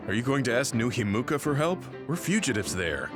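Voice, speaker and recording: Casting: male actor for pragmatic game squadmate, medium-pitched, serious and sober, clear music underneath. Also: very animated, a little fast, close to an everyday voice. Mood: skeptical. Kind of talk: English video game dub acting.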